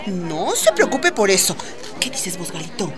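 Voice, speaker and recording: An actress playing an excitable, pious, coaxing Ecuadorian mother who runs a market stall, in a radio soap with an Ecuadorian accent. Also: medium pitch, measured pace. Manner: fussy, coaxing, exclamatory. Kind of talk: radio soap opera acting. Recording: loud music behind the voice.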